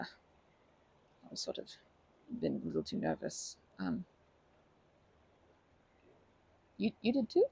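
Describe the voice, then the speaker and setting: A woman playing a timid, kind park regular in an ASMR roleplay, speaking softly in halting, stammering, self-correcting sentences. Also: fairly low pitch, gravelly, breathy edge, full character voice. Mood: shy, concerned. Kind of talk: ASMR roleplay, improvised-sounding intimate monologue.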